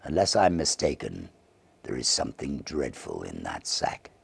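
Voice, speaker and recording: A man voicing a resolute, excitable Victorian medical man, intense and dramatic, in a ghost story reading. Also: very deep voice, normal speed, gravelly, husky, voice pushed down, heavily acted. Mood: grave.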